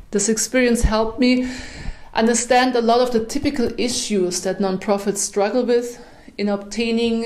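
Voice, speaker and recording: A middle-aged woman with a German accent, a native German speaker, giving a measured, clearly structured webinar presentation as a nonprofit consultant. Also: medium pitch, a little mellow.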